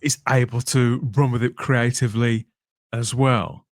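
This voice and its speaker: A man hosting a podcast in a casual but polished way, discursive and measured, often ending on tag questions. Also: mid-range voice, little pitch movement, dry recording, studio quality. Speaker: podcast host.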